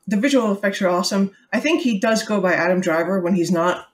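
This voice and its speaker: A woman's voice: mid-range voice, fast. A woman with a mid-range voice co-hosting a casual book podcast. She talks sarcastically and giggles often, drifting into rambling asides. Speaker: podcast co-host. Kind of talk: casual book-discussion chat.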